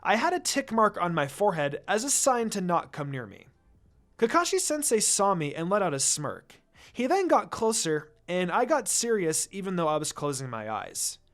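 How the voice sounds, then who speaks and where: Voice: medium pitch, very animated, normal speed, a bit bright. A narrator reading a fan fiction story aloud from a script, dialogue included.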